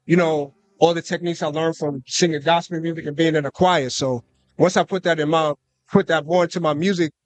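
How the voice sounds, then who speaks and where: A man's voice: fairly high pitch, brisk, dry recording. A young man from the United States, a guest rapper, talking loosely in a casual radio interview with plenty of fillers. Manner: relaxed, loose, with many fillers and "you know what I'm saying". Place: live concert radio booth.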